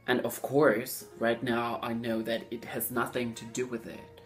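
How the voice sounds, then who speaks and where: A young man talks casually about his own life in a medium-pitched voice. His delivery is slow and halting, as he keeps searching for the right words. Faint guitar music plays behind him. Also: level tone. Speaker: vlogger telling a personal story. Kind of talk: casual personal storytelling.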